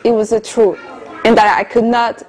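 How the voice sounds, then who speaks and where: A woman of around thirty from Colombia, speaking English, earnestly telling her personal story as an interviewee with soft hesitations. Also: on the high side, flat delivery, normal speed, some chatter behind, slight background noise. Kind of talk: personal testimony in conversation.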